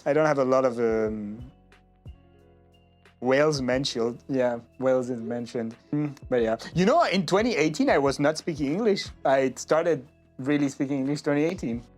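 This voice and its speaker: A man's voice: mid-range voice, expressive, normal speed, a little mellow, faint music behind. A man from France with a French accent, hosting a casual, playful interview chat in English. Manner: playful, laughs often. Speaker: interview host and streamer.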